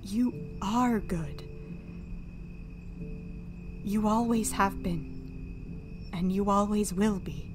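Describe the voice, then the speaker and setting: A woman playing a solemn, devoted pony princess in a radio drama, with a formal, measured, earnest delivery. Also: mid-range voice, music in the background. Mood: earnest, consoling. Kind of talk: dramatic radio play acting.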